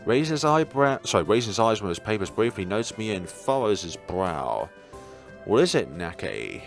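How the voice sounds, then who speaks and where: Male let's player, low voice with animated swings, reads game text and comments over music. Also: moderate pace. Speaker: let's play host. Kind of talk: reading a visual novel aloud with commentary.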